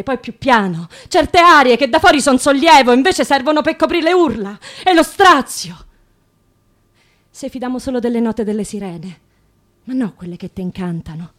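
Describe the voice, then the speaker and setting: A woman's voice: fairly high pitch, lightly acted. An actress playing an anguished working-class woman in wartime, with a Roman accent and an earthy, theatrical delivery. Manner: earthy, emotive dialect delivery. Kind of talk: theatrical dramatic monologue and dialogue.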